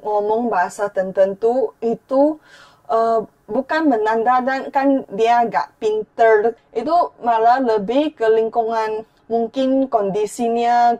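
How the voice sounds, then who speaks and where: Malaysian-accented young woman, higher voice. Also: a little mellow. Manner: earnest, code-switching, often restarts words. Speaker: YouTuber. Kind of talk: vlog commentary.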